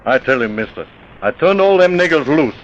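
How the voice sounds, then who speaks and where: An actor playing an evasive, domineering plantation owner with a Southern US accent, curt and folksy, in old-time radio drama. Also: male voice, a little high, expressive, a little fast, close to an everyday voice. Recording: muffled, noisy vintage radio recording.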